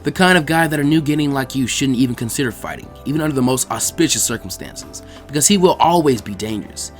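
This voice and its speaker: A man voicing a calm, seasoned ninja teacher in a measured, grave, low-key manner for a story reading. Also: soft background music, natural voice.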